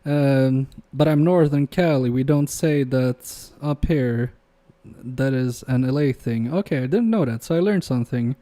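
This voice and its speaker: A man's voice: mid-range voice, unhurried, hardly any pauses, mellow. A young man whose first language is Polish, chatting casually with a live-stream chat while repairing electronics at his workbench and muttering to himself as he works. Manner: chatty, reads chat aloud, trails off muttering while working. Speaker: livestream repair host.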